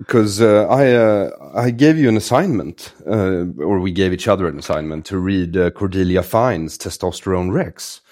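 Swedish guy hosting a podcast, super low voice, dry sarcastic delivery. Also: normal speed, hardly any pauses, darkish tone. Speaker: podcast host. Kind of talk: read intro then casual conversation.

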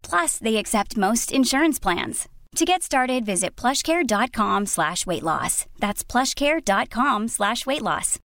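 A woman's voice: on the high side, lively intonation, unhurried, a bit bright, no room sound, studio quality. Woman reading an ad. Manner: personal testimonial tone. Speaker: ad reader. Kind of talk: read advertisement.

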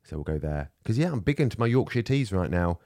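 A man's voice: sits low, big pitch swings, quick pace, a little mellow, no room sound, studio quality. A man in casual chat as a co-host, ranting and self-mocking. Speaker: podcast co-host.

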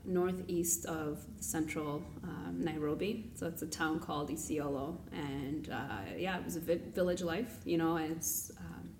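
A woman from Kenya speaking as an interview guest, reflective and casual, with hesitant pauses. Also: sits low, steady tone. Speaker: guest, food business founder. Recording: roomy, distant mic, background noise.

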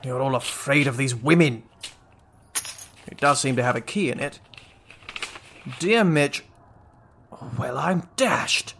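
Man playing a pompous, bright-voiced English army general. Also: mid-range voice, slow, creaky, long pauses, a little hiss or hum. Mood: exasperated, disbelieving. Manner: pompous, imperious, exclamatory. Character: pompous, blustering, easily outraged British army general. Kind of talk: comic radio play acting.

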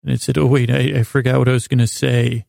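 A man with a creaky, gravelly voice hosting a sleep podcast, rambling in a halting, self-deprecating monologue that keeps losing its thread. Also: medium pitch, little pitch movement, darkish tone, no room sound, clean studio sound. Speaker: podcast host.

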